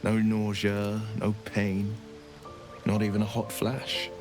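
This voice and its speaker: An actor voicing a confident, wry male fighter companion in a video game, measured and reassuring. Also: lowish voice, monotone, music in the background. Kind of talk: video game cutscene dialogue.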